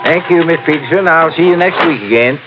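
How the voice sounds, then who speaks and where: A man's voice: on the high side, fairly quick, over loud music, natural voice. Tinny-sounding, folksy small-town storekeeper acted by a man. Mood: friendly, neighborly. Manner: rambling, amiable, easily sidetracked. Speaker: supporting actor. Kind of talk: old-time radio drama acting. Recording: phone-like old radio sound, faint noise.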